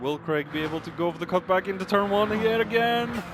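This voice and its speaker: A man with a high-pitched voice calls a race live over clear vehicle noise. He is informal and exclamatory, with hesitations between phrases. His voice sounds strained, as if it is starting to give out. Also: a little fast. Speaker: esports racing commentator. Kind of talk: excitable live race commentary.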